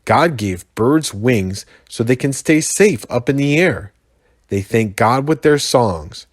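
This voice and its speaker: Man putting on a tiny earnest bush baby, raised pitch. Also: expressive, slow pace, no echo, clean studio sound. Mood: earnest, teaching.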